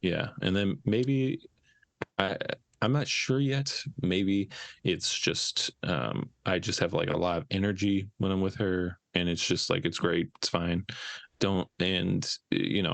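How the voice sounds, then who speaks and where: Low gravelly hesitant man. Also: no echo. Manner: halting, hesitant, full of "like" and "um". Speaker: podcast co-host. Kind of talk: casual chat.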